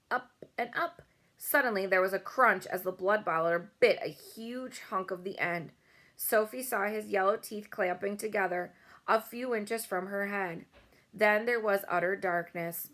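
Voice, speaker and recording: A woman's voice: mid-range voice, a little slow, a bit bright. A woman narrating a children's story as a read-aloud. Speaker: storybook reader.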